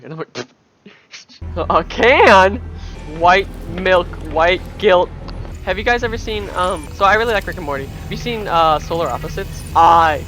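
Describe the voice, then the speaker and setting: A young woman with a deep voice streaming on a headset, rambling and swearing casually with lots of filler. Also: fairly bright tone. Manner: rambling, restarts, heavy "like" filler, swears freely. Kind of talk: casual livestream chat. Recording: music playing under the voice.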